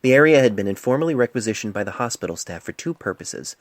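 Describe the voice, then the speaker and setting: A man reading a scripted story as an amateur narrator, measured and literary, recorded on a cell phone microphone. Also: medium pitch, lively intonation, dry recording.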